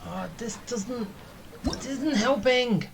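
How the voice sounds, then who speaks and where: A game streamer thinks out loud in casual commentary. The voice has a medium pitch and stumbles hesitantly over repeated words. Faint noise and clicks are in the recording. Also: slow pace, gravelly. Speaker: gaming streamer, player.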